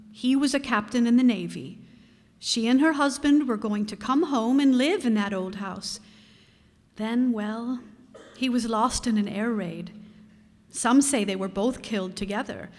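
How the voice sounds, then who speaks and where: A woman playing a gentle, gossipy middle-aged housewife who speaks solicitously, in old-time radio comedy style. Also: a little high, big-room sound. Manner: solicitous, storytelling.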